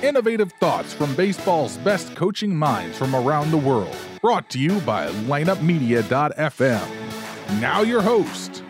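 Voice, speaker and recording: A man reads a polished podcast intro in an announcer style. His pitch moves a lot. Music plays clearly behind him. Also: very animated, unhurried.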